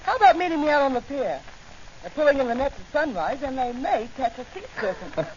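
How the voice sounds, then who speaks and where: Plucky, earnest young newswoman, high voice, dull old radio sound. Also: sing-song, fairly quick. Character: earnest, plucky young female newspaper reporter. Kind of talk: 1940s radio acting. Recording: noisy, dull 1940s radio recording.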